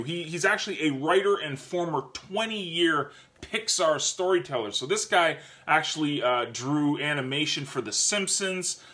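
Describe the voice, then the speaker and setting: Thirties man, bright and forceful tone, chatty vlog reviewer who restarts and repeats words. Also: a little high. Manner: animated, gestures a lot, repeats words while thinking. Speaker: book reviewer. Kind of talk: casual book review vlog. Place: home office with bookshelves, indoors. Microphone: webcam at desk.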